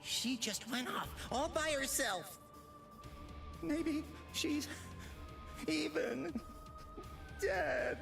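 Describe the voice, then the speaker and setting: An actor voicing a small, anxious, excitable sidekick in an anime game, whiny and fretful. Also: high voice, very slow, creaky, long pauses, recorded from a distance, over music, exaggerated voice. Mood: panicked. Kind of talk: English-dubbed anime game voice acting.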